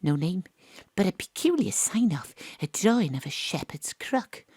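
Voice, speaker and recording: A woman's voice: on the low side, big pitch swings, normal speed, a little mellow, slightly rough, dry recording. An actress playing a wry, hard-boiled woman detective, narrating in noir style and trading dry lines. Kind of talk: noir first-person narration and dialogue. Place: home studio.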